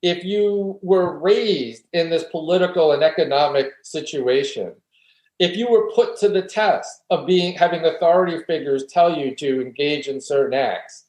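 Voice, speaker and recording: A man's voice: a little high, normal speed, darkish tone. A man speaking as an academic interview guest, explaining and arguing his points earnestly in a conversational way. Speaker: guest philosophy professor. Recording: roomy, echoey room sound.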